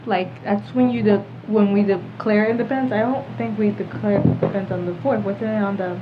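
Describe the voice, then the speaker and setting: A woman's voice: medium pitch, a little fast. A teenage American girl chatting casually as a podcast panelist. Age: teens. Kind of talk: casual group discussion. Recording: muffled lo-fi with clear background noise.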